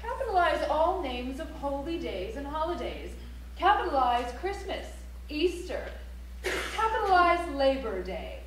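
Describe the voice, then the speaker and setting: A woman's voice: high-pitched, very animated, a little slow, a bit bright, heavily acted. A woman playing a prim grammar instructor on stage, reciting rules in clipped, emphatic, didactic commands. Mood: commanding. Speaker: stage actor. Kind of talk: theatrical recitation of a rulebook. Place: library auditorium stage, live play. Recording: echoey auditorium from far off, faint hum.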